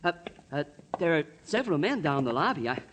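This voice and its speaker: An actor playing a fussy, timid American hotel desk clerk in a 1950s radio drama, prissy and stammering, on a noisy old recording. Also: male voice, on the high side, very animated, a little fast, a bit bright. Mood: flustered.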